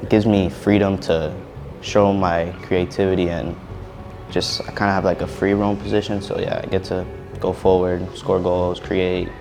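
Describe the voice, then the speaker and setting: A teenage male soccer player answering interview questions in a relaxed way, often ending with "so yeah". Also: low voice, moderate pace, over music. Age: teens. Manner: relaxed, ends thoughts with "so yeah".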